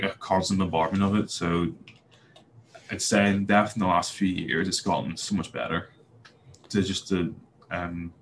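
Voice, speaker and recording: A man's voice: low voice, slight background noise. A young man with a Belfast accent, a podcast guest talking casually with a lot of fillers and restarts. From Northern Ireland. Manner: hesitant, many fillers and restarts. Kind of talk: casual interview talk.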